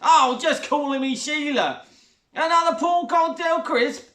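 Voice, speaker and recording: Shrill brassy stepmom done by a guy, loud and coarse, way up high, roomy echo. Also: distant mic, exaggerated voice. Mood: gushing. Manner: shrill, brash, drawn-out oohs. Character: loud coarse spiteful middle-aged stepmother.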